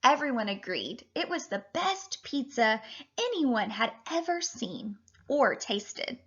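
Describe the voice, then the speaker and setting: A woman in her thirties, a teacher reading and talking to children in an animated, deliberate way. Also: on the high side, breathy edge, dull audio. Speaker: teacher reading a picture book aloud to children. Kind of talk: expressive children's read-aloud and lesson.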